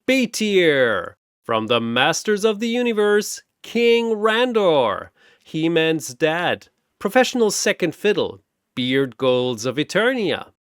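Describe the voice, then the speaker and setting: Audio-story arena god, played by a male narrator: theatrical, grandiose, teasing ringmaster, animated delivery. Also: on the high side, slow, clear, resonant tone, dry recording, clean studio sound, pitched above the performer's own voice, full character voice. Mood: showy, mocking. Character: bored, gleefully mocking four-armed almighty god playing arena announcer. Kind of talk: bombastic showman ring announcing.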